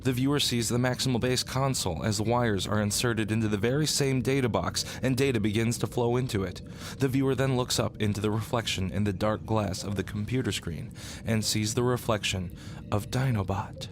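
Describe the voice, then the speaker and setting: A man's voice: on the low side, fairly quick, noisy background. A man narrating a scripted radio drama, describing the action in the present tense. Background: music.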